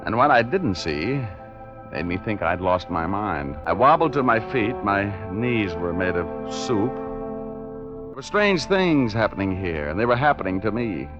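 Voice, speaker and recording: An actor playing a world-weary private detective, wry and deadpan, in 1940s radio style. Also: male voice, lowish voice, a little slow, highs cut off. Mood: bemused, dry. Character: world-weary hard-boiled male private detective narrating. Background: music.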